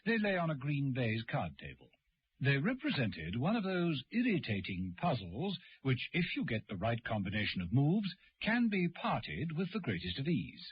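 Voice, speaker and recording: A man's voice: medium pitch, huge pitch swings, moderate pace, slightly rough. A man narrating a radio serial in a dramatic, suspenseful and precise scripted style. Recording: dull lo-fi old radio recording. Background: dramatic music.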